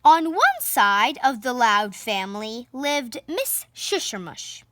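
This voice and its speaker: A woman reads a children's picture book with theatrical expression. Her high voice swings widely in pitch and moves very slowly, savouring the sound words. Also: very animated, noisy background. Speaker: storybook reader.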